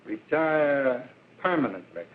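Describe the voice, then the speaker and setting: An actor playing a cool, menacing crime boss in 1930s film style, with a clipped, slangy, commanding manner. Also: male voice, mid-range voice, expressive, slow pace. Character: cool, menacing male crime boss running a drug racket. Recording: tinny, noisy 1930s film soundtrack.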